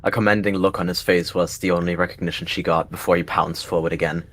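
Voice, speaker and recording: A man narrates a scripted animal fantasy tale. His voice is low, and he reads in prose storytelling style. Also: fairly even intonation, fast talker, fairly bright tone. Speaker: narrator and roleplayer.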